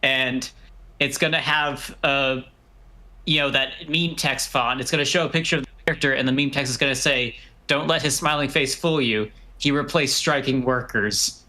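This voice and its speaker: A man hosting a casual livestream chat, speaking haltingly and often repeating his phrases. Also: medium pitch, steady tone, sharp tone. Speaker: livestream host. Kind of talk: casual banter.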